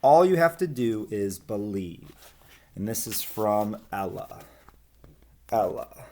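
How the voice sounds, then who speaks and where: Man reading aloud with very lively pitch. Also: slow, a little hiss or hum. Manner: expressive, addresses young listeners directly. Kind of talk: storybook read-aloud with casual asides.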